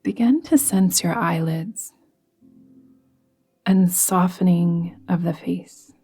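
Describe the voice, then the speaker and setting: A woman guiding a yoga nidra meditation in a soft, soothing, gently instructing manner, with breathy phrase endings. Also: fairly low pitch, takes it slowly. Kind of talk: guided meditation. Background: music.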